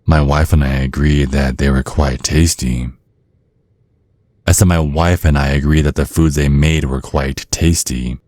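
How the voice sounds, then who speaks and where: A man's voice: rumbling low, fairly even intonation, measured pace, darkish tone, no room sound. A man playing a centuries-old vampire father in an ASMR roleplay, protective and slyly polite, teasing with veiled threats. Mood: insinuating threat. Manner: mock-courteous, insinuating, teasing with veiled threats.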